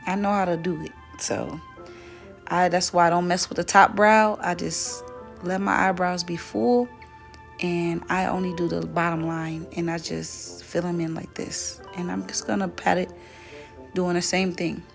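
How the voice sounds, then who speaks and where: A young woman with a slightly raspy, dry voice, chatting casually through a makeup tutorial. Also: lowish voice, a little slow, soft background music. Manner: relaxed, chatty, self-correcting. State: voice dry from weather. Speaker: beauty vlogger.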